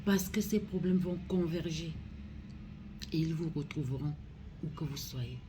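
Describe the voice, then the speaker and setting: Low, slow-spoken Cameroonian woman making an appeal. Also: background noise. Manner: grave, pleading, deliberate phrasing. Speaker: regional councillor making a public appeal. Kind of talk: solemn public address.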